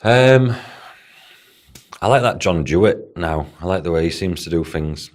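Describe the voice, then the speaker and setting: A man's voice: low-pitched, lively intonation, measured pace, darkish tone. A man with a Hull accent co-hosting a casual podcast as a bodybuilding coach, rambling and dropping in "do you know what I mean" as he talks. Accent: Hull, Northern English. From Hull, England.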